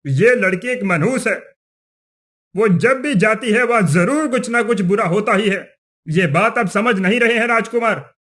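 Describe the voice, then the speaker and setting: A man's voice: sits high, a little slow, a bit bright. A man playing an accusing, superstitious village chief in a cartoon story, speaking insistently. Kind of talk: Hindi cartoon story acting.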